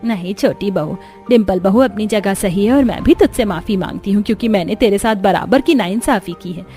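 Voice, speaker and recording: A woman's voice: medium pitch, a little fast, dark tone, soft background music, voice pushed down, lightly acted. A woman voicing an older, authoritative mother-in-law in a cartoon family story. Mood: remorseful. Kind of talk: Hindi cartoon story dialogue.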